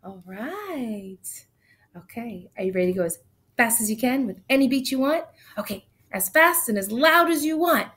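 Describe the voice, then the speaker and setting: A woman's voice: on the high side, lively intonation, moderate pace, fairly bright tone. A woman in her thirties teaching an online class, counting beats and reading a picture book aloud in an upbeat way, heard through a webcam in a small room. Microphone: webcam on video call.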